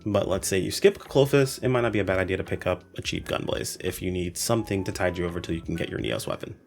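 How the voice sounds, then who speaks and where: A man's voice: sits low, expressive, fast talker, creaky. A man giving a casual, conversational explainer commentary for a gaming video, with emphatic asides. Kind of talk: casual explainer commentary on game weapon stats. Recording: voice-over with music behind.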